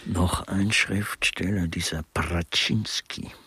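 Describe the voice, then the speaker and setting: An actor playing an elderly, gossipy, cynical aesthete in a crime radio play, speaking in a husky, breathy voice with affected relish. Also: male voice, deep voice, fairly even intonation, slow, pushed and bright, no echo, full character voice. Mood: wry, musing. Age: older. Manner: affected, musing, theatrically relishing words. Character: Meiser, elderly male honorary guest artist, gossipy, cynical aesthete. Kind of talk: German crime grotesque radio play dialogue.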